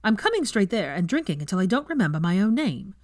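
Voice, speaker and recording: A woman's voice: medium pitch, sing-song, fast talker, a little mellow, dry recording, clean studio sound, lightly acted. A woman voicing a brash, foul-mouthed young witch in audiobook dialogue, emphatic and exasperated. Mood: exasperated, dry.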